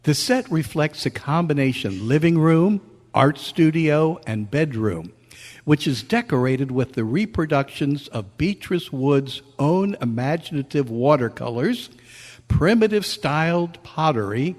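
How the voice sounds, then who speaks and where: A man speaking as a playwright and director, presenting and narrating in a measured, earnest way. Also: fairly high pitch, expressive, a little mellow. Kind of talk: spoken introduction and slow play narration. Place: convention meeting room before an audience. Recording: echoey hall, long reverb.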